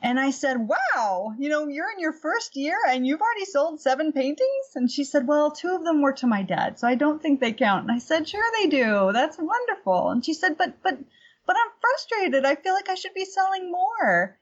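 A middle-aged woman chatting casually as an interview guest and painting teacher, telling anecdotes in an animated, emphatic way. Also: sits high, normal speed, lo-fi sound.

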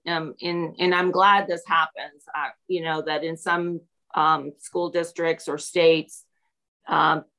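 Female interviewee from Peru, a teacher, slightly low voice, reflective and conversational delivery. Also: a bit bright, poor audio.

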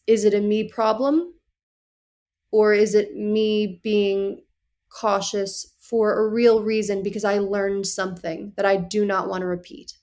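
A woman in her thirties speaks at an ordinary middle pitch. She is blunt and direct, yet supportive as she answers a friend's worry. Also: darkish tone. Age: 30s. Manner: direct and frank, reassuring. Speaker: podcast guest. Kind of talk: casual conversation.

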